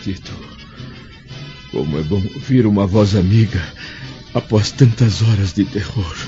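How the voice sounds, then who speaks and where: A man plays a blind, wounded Roman senator in a Brazilian radio drama. The older nobleman speaks in a low register with formal, solemn gravity. The audio is dull, with music playing beneath. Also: measured pace, mellow, close to an everyday voice. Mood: weary relief.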